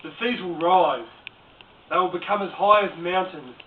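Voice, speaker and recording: A man plays a crude doomsday prophet, deadpan, in a fairly high voice. The mock documentary sounds homemade, roomy and phone-thin. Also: normal speed, lightly acted. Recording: echoey, distant, tinny, noisy home recording.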